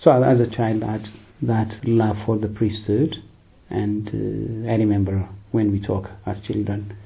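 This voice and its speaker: A priest, telling his vocation story in a hesitant, unhurried way with many fillers. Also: lowish voice, little pitch movement, vocal fry, phone-quality sound. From Eritrea, raised in Ethiopia. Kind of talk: personal vocation testimony.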